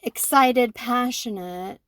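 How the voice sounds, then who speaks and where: A woman in her forties, co-hosting a podcast, talking through her own thoughts in an animated way and often checking in with "right?". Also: fairly high pitch, slow pace. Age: 40s. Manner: animated, self-reflective, fond of tag questions like "right?". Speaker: podcast co-host. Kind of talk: casual conversation.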